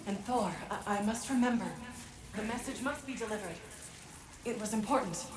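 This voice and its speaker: A woman's voice: a little high, close to an everyday voice. Actress as an echoing, earnest female ghost messenger. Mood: dazed, urgent. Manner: halting, urgent. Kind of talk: video game voice acting. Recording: echoey, noisy ambient game scene.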